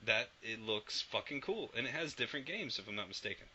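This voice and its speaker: Man, podcast co-host, rambling casual talk, bright sharp tone, lo-fi muffled audio. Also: mid-range voice, animated, fairly quick, breathy edge. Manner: rambling, swears casually, laughs often. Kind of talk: casual chat. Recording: dull lo-fi podcast audio with faint noise.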